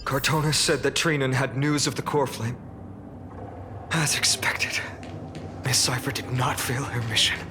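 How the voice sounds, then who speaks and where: An actor playing a grave, resolute young heroic lord in a video game, speaking in a measured, formal and earnest way. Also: male voice, medium pitch, steady tone, noisy background, close to an everyday voice. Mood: calm, serious. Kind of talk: English video game voice acting. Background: game music.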